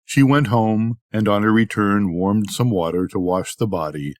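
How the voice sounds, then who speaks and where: A man's voice: on the low side, moderate pace, no room sound, clean studio sound. An older man reading a bedtime story aloud as a storyteller.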